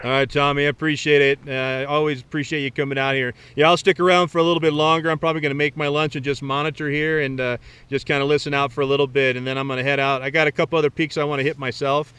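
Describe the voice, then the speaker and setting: A man's voice: fairly high pitch, little pitch movement, racing, fairly bright tone, clear, resonant tone. A man talking casually and easily outdoors as an amateur radio operator, in colloquial language. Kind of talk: casual radio chat and outdoor vlog talk. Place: outdoors on a rocky mountain summit. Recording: faint outdoor background noise.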